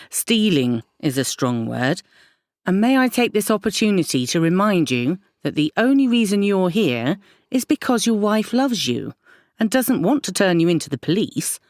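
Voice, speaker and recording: A woman playing a stern counsellor in an audio drama, measured and pointedly questioning. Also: lowish voice, darkish tone, no room sound, clean studio sound. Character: stern, probing female counsellor challenging a client.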